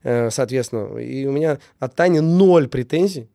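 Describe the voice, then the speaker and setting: A man's voice: mid-range voice, lively intonation, moderate pace, creaky, dark tone, no echo, clean studio sound. A man with a slight cold speaking casually and reflectively as an interview guest, emphatic, repeating phrases in lists. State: getting over a cold. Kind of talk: casual reflective talk on relationships.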